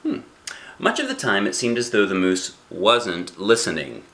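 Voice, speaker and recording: A middle-aged man reading aloud to a child as a dad would, playful and expressive, with chatty asides and questions. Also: lowish voice, a little slow, gravelly. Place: small living room indoors. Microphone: camera a short distance away.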